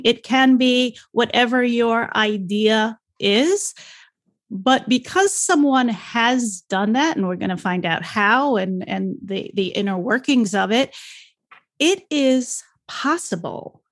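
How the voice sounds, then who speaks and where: A woman hosting an interview podcast, relaxed and welcoming as she introduces her guest. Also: on the high side, no echo. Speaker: podcast host.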